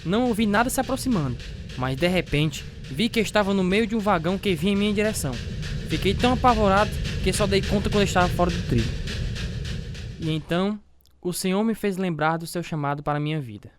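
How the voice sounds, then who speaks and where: A man's voice: high-pitched, fast, soft background music, lightly acted. A man acting as a devout, self-doubting family man, narrating and talking in an earnest, confessional way with a Brazilian accent in a religious radio drama. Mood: conflicted, earnest.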